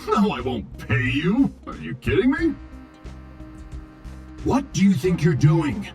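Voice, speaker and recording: An actor playing an arrogant, impatient robot Hollywood director in a video game, with a pompous, exasperated, theatrical delivery. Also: male voice, high-pitched, huge pitch swings, slow pace, darkish tone, faint music behind, full character voice. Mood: scornful. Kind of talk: video game character acting.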